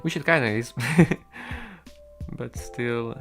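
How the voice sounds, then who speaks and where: A man's voice: mid-range voice, expressive, very slow, a bit bright, soft background music. A young man whose first language is Russian, chatting casually and rambling with stream viewers while he codes, swearing now and then. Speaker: solo game developer streaming.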